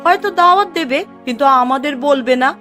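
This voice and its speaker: A woman voicing a middle-aged village housewife in a cartoon story, expressive and exclaiming. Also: high voice, quick pace, soft background music. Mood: worried, regretful. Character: emotional village mother and neighbour wife, middle-aged. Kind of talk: Bengali moral-story cartoon voice acting.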